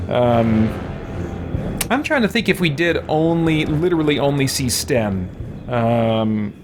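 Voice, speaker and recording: A man's voice: medium pitch, expressive, slow, noisy background. A man giving casual gameplay commentary, thinking aloud in a rambling, musing way. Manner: rambling, musing, trails off into side thoughts. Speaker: gaming streamer, let's player.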